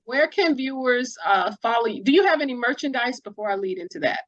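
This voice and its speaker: A woman with a somewhat high voice hosts a casual interview. Her phrasing is informal and slangy, and the sound is dry with hardly any room on it. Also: animated, fairly quick, dry recording, lo-fi sound. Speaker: interviewer, host.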